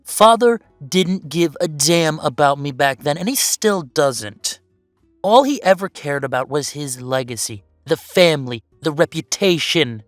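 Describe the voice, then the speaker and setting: Woman voicing a low, defiant werewolf brother. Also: expressive, measured pace, no echo, faint music behind. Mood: bitter. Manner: curt, defensive, confrontational. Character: defiant, bitter werewolf big brother, estranged from family. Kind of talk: scripted audio roleplay drama.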